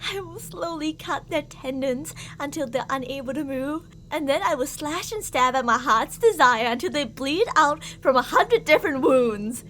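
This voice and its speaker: A very high-pitched deranged yandere stalker. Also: female voice, animated, moderate pace, few pauses, a bit bright. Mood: cold menace. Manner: theatrical, possessive, swinging between coaxing and menacing. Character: deranged, obsessively jealous female stalker lover, murderous. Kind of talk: ASMR girlfriend roleplay acting. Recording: audible background noise.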